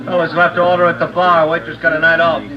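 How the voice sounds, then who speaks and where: A curt tavern bartender, as a man acts him out, higher-pitched and quick. The sound is a dull, lo-fi 1940s radio broadcast with clear noise behind him. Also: completely flat delivery, lightly acted. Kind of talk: 1940s radio drama acting.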